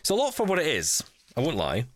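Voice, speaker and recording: A man's voice: a little high, wildly swooping pitch, quick pace, slight background noise. A man hosting a podcast in casual, chatty style, thinking aloud with wry asides. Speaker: podcast host. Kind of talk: casual chat, live unboxing of a gadget.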